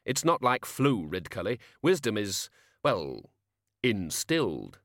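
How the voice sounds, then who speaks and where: A man's voice: on the low side, huge pitch swings, takes it slowly, a bit bright, no room sound, exaggerated voice. A pompous old dean of wizards, curt and superior, as a male reader puts him on. He snaps out short, clipped rebuttals. The recording is dry and close. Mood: snappish.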